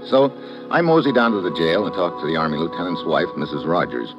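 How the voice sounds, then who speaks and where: A man's voice: sits low, animated, fairly quick. A man playing a wisecracking, hard-boiled government agent who narrates his own case in an old radio drama. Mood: wry. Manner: wry, sardonic, hard-boiled. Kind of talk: 1950s radio drama acting. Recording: dull old radio recording with music.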